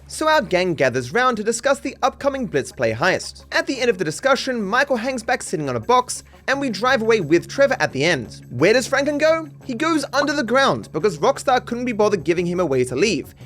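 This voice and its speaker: A man's voice: high voice, very animated, a little fast, hardly any pauses, fairly bright tone. A man reading scripted comedic commentary on a video game in a dry, mock-serious, sarcastic way. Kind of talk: scripted comedic narration over game footage. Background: music under the voice.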